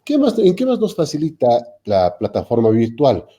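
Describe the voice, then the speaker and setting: A man's voice: medium pitch, huge pitch swings, moderate pace, warm tone. A man, a technical institute lecturer explaining online teaching in a panel talk, hesitating with frequent fillers and self-corrections over a video call.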